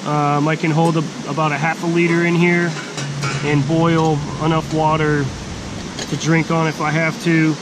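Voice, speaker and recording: A middle-aged man giving a casual, plain-spoken outdoor gear walkthrough in a forest by a stream, speaking slowly with halting pauses. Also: a little high. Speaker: hiking vlogger. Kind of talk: casual gear show-and-tell. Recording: steady outdoor background hiss.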